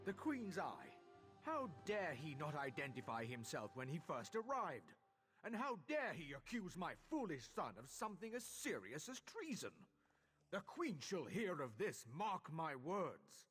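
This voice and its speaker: An actor playing a proud, indignant elf magistrate and father in a video game, husky and pompous. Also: fairly high pitch, very animated, measured pace, a bit bright, soft background music, full character voice. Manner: pompous, dramatic. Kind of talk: video game character dialogue. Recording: long hall reverb.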